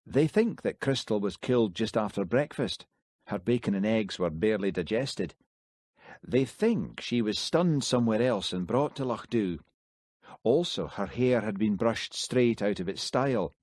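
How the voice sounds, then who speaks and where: A man doing a calm, shrewd village constable. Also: on the low side, a little slow, no room sound, clean studio sound. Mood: measured.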